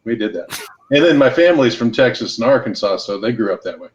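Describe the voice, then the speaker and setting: A man guest on a podcast, telling stories and giving business advice in a relaxed, easygoing way. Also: medium pitch, quick pace. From Los Angeles and Hawaii. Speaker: guest entrepreneur. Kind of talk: casual podcast conversation. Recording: slightly dull lo-fi sound.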